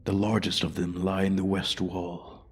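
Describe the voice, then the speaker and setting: A man voicing an ancient, arrogant dragon in a fantasy ASMR roleplay, haughty and deliberate, with a breathy voice that often drops to a whisper. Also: sits low, completely flat delivery. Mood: taunting. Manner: haughty, deliberate, coldly threatening. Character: ancient, menacing, arrogant male dragon guarding his hoard. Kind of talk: slow, theatrical fantasy ASMR roleplay monologue.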